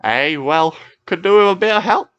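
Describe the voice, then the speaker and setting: Figure: brave young tugboat, male, voiced by a man. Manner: plain, cool. Pitch: raised. Tone: bright. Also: lively intonation, normal speed, clear, resonant tone, dry recording, lo-fi sound.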